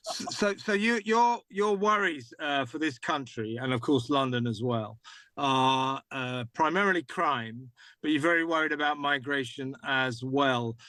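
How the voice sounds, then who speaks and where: An older man hosting a TV talk show, interviewing a guest in a halting way full of fillers. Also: medium pitch, animated, sharp tone, dry recording. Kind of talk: studio interview.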